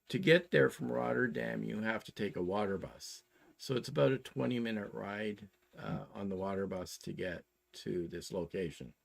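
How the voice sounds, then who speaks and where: A man in his sixties with a Canadian accent, chatting casually as a show co-host and explaining plans in a halting, methodical way. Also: on the low side, gravelly. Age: 60s.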